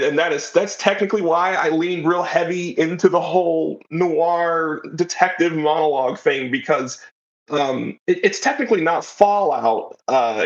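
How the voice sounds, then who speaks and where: A man's voice: mid-range voice, lo-fi sound. A man talking casually as an interview guest, explaining things in a rambling, enthusiastic way. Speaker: interview guest, writer of an audio drama.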